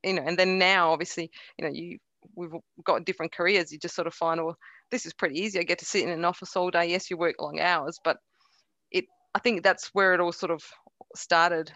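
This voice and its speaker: A woman's voice: lowish voice, fairly even intonation, a little fast, fairly bright tone, dry recording. A middle-aged woman from Croatia, a Croatian speaker with a faint Croatian accent, chatting easily in an interview, laughing often and restarting her sentences. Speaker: interview guest. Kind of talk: relaxed conversational interview.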